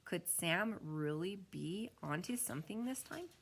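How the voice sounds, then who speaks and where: A woman reading a children's story aloud like a teacher, with expressive delivery. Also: lowish voice, unhurried, creaky, slight background noise. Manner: expressive reading with acted-out dialogue.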